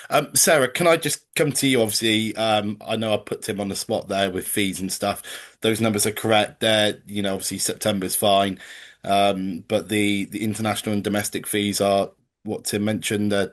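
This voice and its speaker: Lowish-voiced man hosting a webinar. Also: fairly even intonation, normal speed, fairly bright tone. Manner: chatty, relays audience questions. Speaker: webinar host. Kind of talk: moderating a webinar Q&A.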